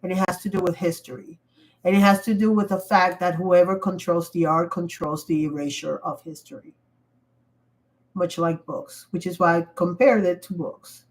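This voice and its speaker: A woman's voice: fairly low pitch, fairly even intonation, normal speed, poor audio. A woman hosting a casual livestream while sick and losing her voice, talking in an emphatic, rambling way with frequent restarts. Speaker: livestream host. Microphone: computer mic.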